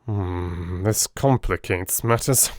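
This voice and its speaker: A man playing a stolid Victorian police inspector in an audiobook, deliberate and matter-of-fact as he reports. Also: sits low, no echo, studio quality. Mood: perplexed.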